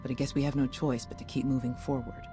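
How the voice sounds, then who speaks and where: A man playing a reassuring, mid-pitched soldier ally. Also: little pitch movement, fast talker, lightly acted. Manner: conversational, reassuring. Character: upbeat, practical male soldier ally, supportive. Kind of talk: video game cutscene dialogue. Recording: background music.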